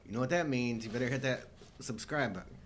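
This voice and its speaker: A man's voice: medium pitch, fast, a little hiss or hum. A middle-aged man co-hosting a live show with casual, playful banter and wry joking.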